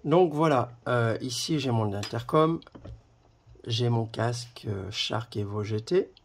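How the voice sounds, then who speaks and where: Guy doing a hands-on review, slow talker w lots of pauses, bit of noise behind him. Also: mid-range voice, animated, gravelly. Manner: halting, pausing while handling items. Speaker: video presenter reviewing a product. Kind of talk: casual hands-on product demonstration.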